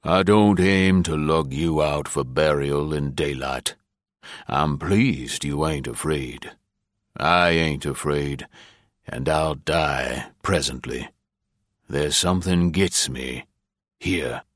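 A grim old farmer as a male audiobook reader plays him speaks in a bottomless, measured drawl. He takes his time over every word, calm and murderous, a country grandfather full of menace, recorded close and dry. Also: very deep voice, no room sound. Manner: slow, measured rustic drawl. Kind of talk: audiobook character acting.

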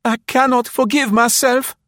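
Man doing a breathless, pleading woman, high-pitched. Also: steady tone, normal speed, dry recording, clean studio sound. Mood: agonized remorse. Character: panicked, gasping French woman spy feigning remorse. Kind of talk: audiobook character acting.

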